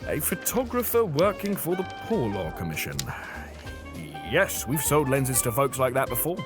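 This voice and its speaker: An actor playing a fussy, chatty British camera shop clerk in a scripted audio drama, with formal shopkeeper patter. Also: male voice, medium pitch, very animated, normal speed, close to an everyday voice. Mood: intrigued, helpful. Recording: music behind the voice.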